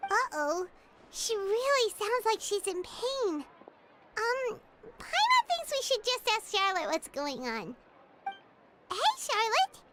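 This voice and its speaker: A woman's voice: extremely high pitch, sing-song, slow pace, heavy background noise, full character voice. A woman voicing a tiny, perky, frank childlike fairy companion in a video game. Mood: concerned. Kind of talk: English video game voice acting. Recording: loud background music.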